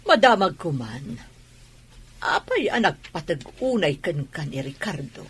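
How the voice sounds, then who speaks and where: A woman with a Filipino accent playing a prying, skeptical older wife with a raspy voice in a radio drama. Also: deep voice, very animated, measured pace, heavily acted.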